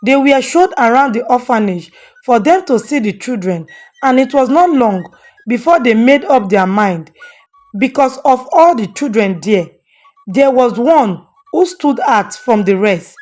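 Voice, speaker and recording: A woman's voice: fairly high pitch, lively intonation, unhurried, darkish tone. A woman storyteller giving a scripted narration of a folktale. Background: faint music.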